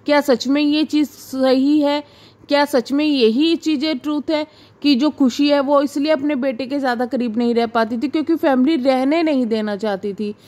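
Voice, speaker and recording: A woman with a clearly high-pitched voice speaks as the host of a reaction video. She delivers informal gossip commentary straight to her viewers, explaining point by point in an explanatory, questioning manner. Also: darkish tone.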